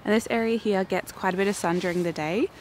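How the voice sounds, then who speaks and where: A young woman with an Australian accent and a croaky morning voice, chatting casually and self-mockingly to camera in her backyard garden. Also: mid-range voice, fast, darkish tone, a little hiss or hum. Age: young adult. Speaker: flower farming vlogger. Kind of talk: casual vlog talk to camera.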